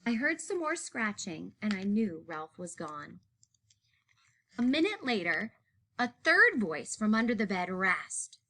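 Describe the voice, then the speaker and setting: A woman reading a children's picture book aloud in an expressive narrating style. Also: medium pitch, unhurried. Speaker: story reader.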